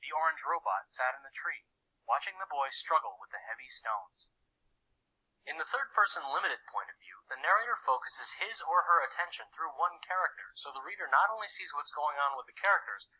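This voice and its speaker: An earnest, slightly put-upon cartoon boy explains things in a conversational, wry way. The voice is sharp and bright with some rasp. The pitch moves around in an animated way. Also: a little fast, heavily acted, low-quality recording. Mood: explaining. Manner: clear explanatory delivery with wry asides.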